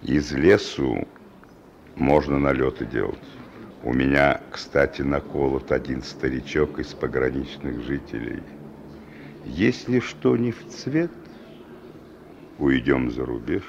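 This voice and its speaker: An actor playing a sly, menacing killer and gang leader with a gravelly voice, in a Soviet-era radio drama. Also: male voice, extremely low pitch, full character voice. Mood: boastful. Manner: slow, taunting, chuckling criminal slang. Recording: dull, noisy old radio recording.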